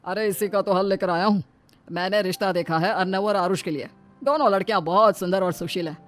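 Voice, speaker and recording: A woman's voice: mid-range voice, fairly quick, natural voice. A woman voicing a genial older brother-in-law in a cartoon story, familiar and persuasive.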